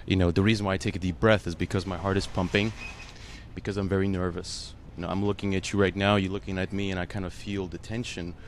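A man's voice: deep voice, flat delivery, fast talker, slight background noise. A man, an actor whose first language is Russian, answering a panel interviewer in an earnest, emotional way, full of "you know" fillers.

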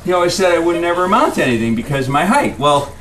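A man's voice: fairly high pitch, animated, very fast, natural voice. A man voicing a tiny, drunk, self-pitying pianist with bitter, anguished outbursts in a live comedy reading. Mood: bitter, hurt. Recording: live stage, roomy, distant, loud audience noise.

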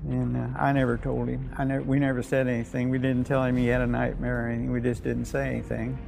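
Creaky elderly American man reminiscing. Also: medium pitch, monotone, music in the background, rough recording. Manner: plain-spoken, halting storytelling. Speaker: war veteran. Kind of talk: unscripted reminiscence.

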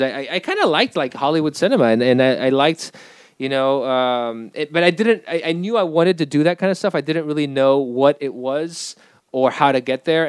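A middle-aged man from Peru speaks in a smooth, resonant voice. He talks casually and haltingly in an interview, full of restarts and fillers, and the dry sound carries almost no room. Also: mid-range voice, dry recording. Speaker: film director guest.

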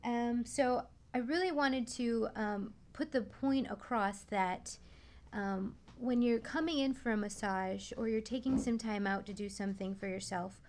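A woman's voice: a little high, a little slow, darkish tone, slight background noise. Woman explaining in a radio interview. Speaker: guest expert, massage therapist.

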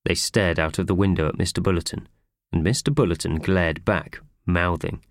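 A man narrating a children's story from a script in an expressive, animated way. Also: low-pitched, normal speed, no room sound, clean studio sound. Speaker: audiobook narrator.

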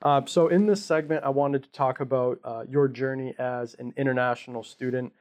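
A man's voice: mid-range voice, fairly even intonation, normal speed, darkish tone. Young male campus show host. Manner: friendly, filler-heavy questions.

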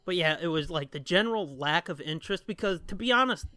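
A man's voice: high-pitched, animated, fast talker, pushed and bright, no room sound. A man co-hosting a podcast in casual, rambling and casually profane chat. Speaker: podcast co-host.

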